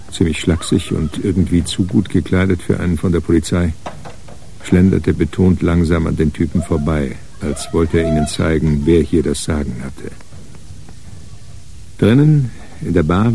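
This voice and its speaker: A man acting as the measured narrator of a hard-boiled crime radio play. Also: sits low, vocal fry, dark tone, a little hiss or hum. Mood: measured, narrating. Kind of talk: scripted narration in a radio drama.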